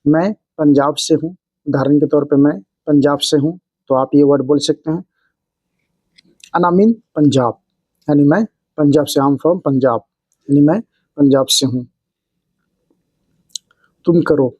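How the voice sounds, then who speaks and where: A man giving a casual spoken language lesson, repeating each example phrase slowly and explaining it word by word. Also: a little high, warm tone, dry recording. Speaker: Arabic language teacher. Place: Saudi Arabia.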